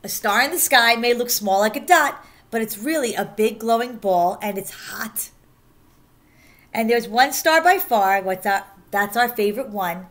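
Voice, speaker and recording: Woman, fairly high voice, teacher, expressive read-aloud, sing-song rhyming delivery, stressed key words. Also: a little slow. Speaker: teacher reading aloud to pupils. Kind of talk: expressive children's picture book read-aloud.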